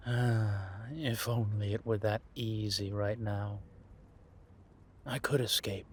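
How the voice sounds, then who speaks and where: Male actor as a wry vampire operative, lowish voice, very slow pace, menacing, confiding, audio drama roleplay. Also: steady tone, slight background noise. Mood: weary, brooding. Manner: measured, drawn-out, wry, confiding delivery. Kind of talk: roleplay audio drama monologue addressed to a listener.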